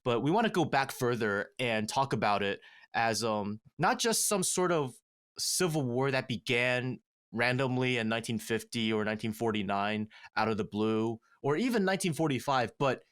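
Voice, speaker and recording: A man in his thirties telling a family story in casual podcast talk, measured and halting with frequent fillers, recorded on a studio mic in a small treated room. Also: mid-range voice, animated, fairly bright tone. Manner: measured, many "um" fillers and restarts. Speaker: podcast guest. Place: small home studio with acoustic foam panels. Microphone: studio mic with headphones.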